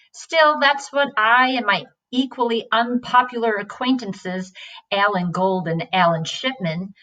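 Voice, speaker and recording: High-voiced woman reading a story aloud. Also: a bit bright. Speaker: story reader. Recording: distant from the microphone.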